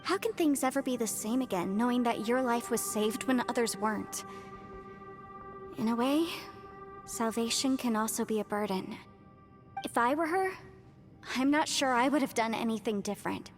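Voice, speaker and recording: Woman acting young female lawyer adventurer, high pitch, chatty, earnest, playful, articulate, video game style, clear background music. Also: normal speed, close to an everyday voice. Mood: earnest, reflective.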